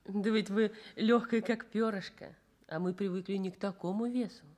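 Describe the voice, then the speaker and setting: An actress playing a gentle, brisk young hospital nurse in a Soviet radio drama, soothing and matter-of-fact. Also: female voice, mid-range voice, steady tone, close to an everyday voice. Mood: soothing, caring.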